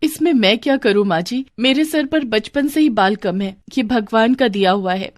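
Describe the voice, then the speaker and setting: A woman voicing a gentle, meek young wife who talks in a pleading, tearful way, in a cartoon story. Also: fairly high pitch, moderate pace, no echo, studio quality, close to an everyday voice.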